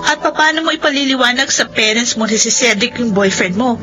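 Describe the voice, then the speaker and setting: An actress playing a blunt, protective, exasperated young friend in a radio drama, questioning and scolding. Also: female voice, fairly high pitch, lively intonation, a little fast, pushed and bright. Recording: dull sound, faint music.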